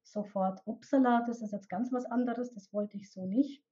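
A woman's voice: fairly high pitch, steady tone, warm tone, husky, dull audio. A woman from Bavaria with a light Bavarian accent and rolled R, a poet explaining her work thoughtfully as an interview guest. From Bavaria, Germany. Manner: thoughtful, explains with pauses and restarts. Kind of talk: casual interview.

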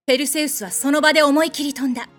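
Composed goddess narrator over music. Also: female voice, high-pitched, fairly even intonation, very fast, a bit bright. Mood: composed, dramatic. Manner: composed, dramatic storytelling. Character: female goddess narrating her story. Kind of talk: scripted audio drama narration.

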